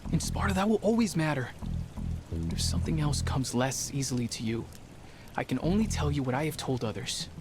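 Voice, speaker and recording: An actor playing a serious, dutiful teenage Spartan warrior in a video game, speaking in a measured, formal, earnest way over background music. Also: male voice, medium pitch, lively intonation, lightly acted.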